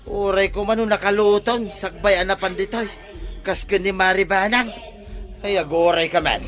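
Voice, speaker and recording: A man's voice: sits high, moderate pace, a bit bright, soft background music. A man acting an angry, scolding husband in an Ilocano radio comedy drama. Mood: grumbling. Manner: scolding, exasperated. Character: angry, scolding husband fed up with gambling wife.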